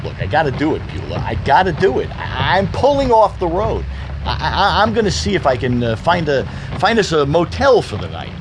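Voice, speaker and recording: A man's voice: a little high, huge pitch swings, brisk, background noise. A man playing a grumpy, exasperated husband in a comic audio drama, irritable and sarcastic with muttered grunts. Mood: flustered. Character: grumpy exasperated husband driving through a rainstorm.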